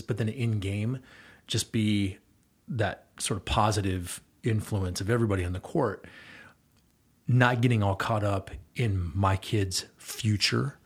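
A man's voice: fairly low pitch, husky. A middle-aged man talking casually and earnestly, rambling with fillers and restarts as he talks about coaching his kids. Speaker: guest and volunteer girls' basketball coach. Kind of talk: casual conversation.

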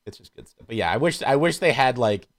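A man's voice: medium pitch, expressive, fast. A man chatting casually and enthusiastically about films as a podcast co-host, with slangy, profane phrasing.

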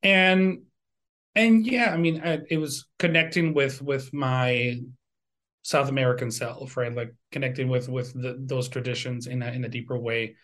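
A man in his forties from Venezuela talks in a reflective interview style. His pitch sits in the middle, and he often doubles back on small words while he gathers his thoughts. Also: steady tone, a bit bright. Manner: halting, repeats words as he thinks. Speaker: composer and university music teacher, guest.

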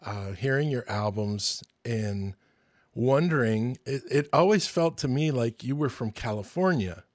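A man's voice: medium pitch, lively intonation, unhurried, no echo, clean studio sound. A man in his sixties hosting a podcast, telling stories in a chatty, enthusiastic way and interviewing a guest. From Michigan, US. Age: 60s. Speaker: podcast host. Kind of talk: casual podcast monologue and interview.

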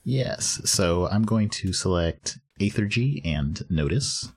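A man's voice: low voice, expressive, slow pace, husky. A man playing a tabletop game, explaining his character's choices hesitantly and searching for words.

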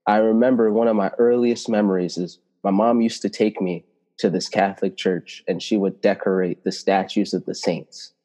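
Mellow low-voiced man, reverberant sound. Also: fairly even intonation. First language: Italian. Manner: reflective, flowing, slows to stress key words. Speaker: spiritual teacher and healer. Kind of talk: earnest personal storytelling.

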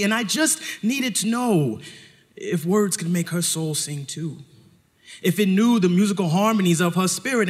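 Male live storyteller, higher-pitched, theatrical and emphatic, dramatic pauses, long hall reverb. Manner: poetic, elevated phrasing mixed with slang. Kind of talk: live personal storytelling performance. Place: live stage show in a hall.

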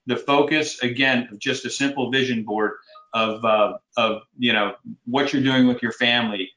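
A man talks with earnest, homespun conviction, often stopping and restarting mid-thought. His voice sits at an ordinary middle pitch. The recording picks up a lot of room echo. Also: fairly even intonation, bright, forceful tone. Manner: earnest, folksy, halting with restarts. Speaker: commercial real estate broker, guest. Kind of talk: casual advice in podcast interview. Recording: roomy echoey sound.

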